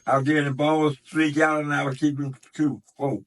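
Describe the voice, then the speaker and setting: A man's voice: on the high side, level tone, fairly quick. Rambling man in his nineties. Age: 90s. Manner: rambling, repeats words, restarts often. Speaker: grandfather telling stories. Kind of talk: casual storytelling.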